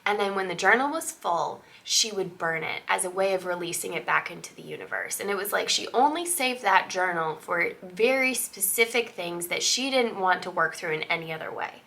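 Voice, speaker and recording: A woman's voice: lowish voice, lively intonation, moderate pace, vocal fry, few pauses, pushed and bright. A woman in her thirties getting over a cold, chatting casually to camera with lots of fillers and asides. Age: 30s. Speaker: vlogger and author.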